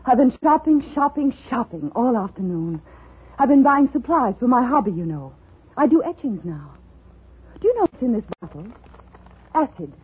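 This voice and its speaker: A woman's voice: fairly high pitch, slightly rough, exaggerated voice. An actress playing a brittle, intense woman scriptwriter unsettled after illness, in a 1950s radio drama. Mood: restless, intense. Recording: muffled, lo-fi old radio recording.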